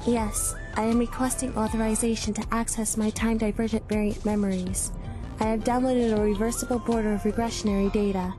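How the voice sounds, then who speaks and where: Woman as a deadpan, monotone anime alien girl. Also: a little high, brisk, over music, close to an everyday voice. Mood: flat, detached. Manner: flat, deadpan, formal technical phrasing. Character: stoic, emotionless teenage alien girl in uniform. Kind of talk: anime fan dub acting.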